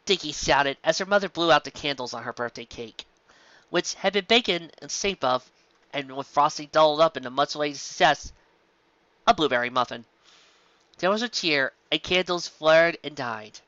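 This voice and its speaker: A narrator reading a scripted story aloud in an animated storytelling style, on a slightly dull home recording. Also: medium pitch, moderate pace, no room sound.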